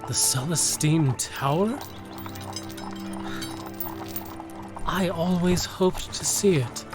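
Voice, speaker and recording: A woman's voice: low voice, very animated, extremely slow, music in the background, heavily acted. A woman playing a curious, dryly teasing young adventurer in an audio drama. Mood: eager, wistful.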